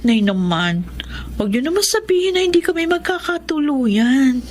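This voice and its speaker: A woman's voice: high voice, big pitch swings, normal speed, a little hiss or hum. A young woman playing a devoted, lovestruck nurse and respectful daughter in a Filipino radio drama, with an earnest manner. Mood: pleading, sincere. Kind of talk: Filipino radio drama acting and first-person narration.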